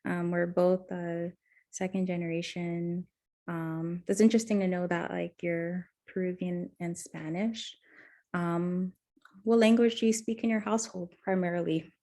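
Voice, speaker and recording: A woman's voice: on the low side, fairly even intonation, a little slow, warm tone. Hesitant young woman counselor. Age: 20s. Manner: hesitant, heavy on fillers and restarts. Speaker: counselor in a practice session. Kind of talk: mock counseling intake conversation.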